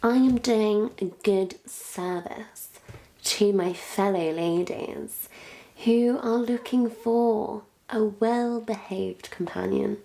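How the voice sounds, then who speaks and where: A woman's voice: medium pitch, creaky, mellow. A woman playing a sly, self-satisfied witch posing as a missionary, in a theatrical, condescending monologue that savors each word to a captive listener. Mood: gloating, condescending. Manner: theatrical, condescending, savoring words with long pauses. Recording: roomy echo, faint background noise.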